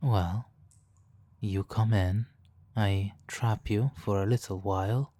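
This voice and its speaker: Man as a slow, silky, smug supervillain. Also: lowish voice, fairly even intonation. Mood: smug, teasing. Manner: condescending, purring, drawn-out pauses, soft chuckles. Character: suave male supervillain, teasing, smug, hypnotically controlling. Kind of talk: ASMR roleplay, soft intimate monologue.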